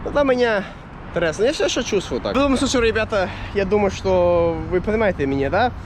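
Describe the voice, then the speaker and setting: High-voiced man with an American accent. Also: lively intonation, quick pace. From United States. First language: English. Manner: rambling, searching for words, many fillers and restarts. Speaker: vlogger. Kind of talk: casual chat to camera. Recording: street traffic noise behind.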